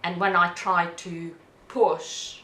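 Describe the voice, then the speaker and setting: A middle-aged woman speaks in a deep register, in an echoey room. She talks candidly and unhurriedly, searching for words and repeating some as she goes. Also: level tone, slow pace, sharp tone. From outside the UK, non-English-speaking country. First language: not English. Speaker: documentary subject, interpreter. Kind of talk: candid reflective conversation. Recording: echoey room with background hiss.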